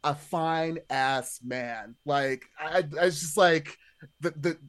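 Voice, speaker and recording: A man's voice: a little high, slow, fairly bright tone. A man in casual podcast conversation, chatty and hesitant, padding his thoughts with lots of filler words. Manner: chatty, hesitant, many "like" hedges. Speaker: podcast panelist.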